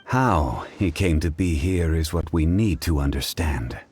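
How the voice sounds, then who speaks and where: A man playing a calm, learned gentleman in a video game, speaking in a measured, formal, pensive manner. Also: extremely low pitch, a little hiss or hum. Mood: serious. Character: calm, learned, dignified gentleman consultant of ancient wisdom. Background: game music.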